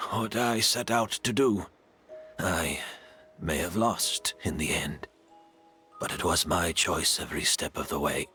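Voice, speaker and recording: A proud, dying villain from a video game speaks slowly and theatrically. His voice is bright and forceful, measured yet wistful, with faint music underneath. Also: low-pitched, exaggerated voice. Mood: wistful defiance. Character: defeated master perfumer, proud, unrepentant, dying villain. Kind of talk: dramatic video game voice acting.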